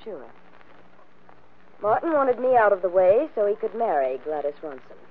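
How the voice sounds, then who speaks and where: A muffled vintage radio recording of a scorned wife. Her voice is husky and guarded, with a bitter edge, acted in 1940s radio drama style. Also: medium pitch, sing-song, normal speed. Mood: wary, bitter.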